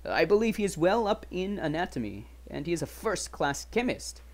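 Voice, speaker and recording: Storybook young gentleman, played by a man: frank, chatty, wary, old-fashioned manners, very lively pitch. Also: moderate pace. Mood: chatty, candid. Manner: animated, emphatic, old-fashioned polite phrasing. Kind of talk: dramatic audiobook reading.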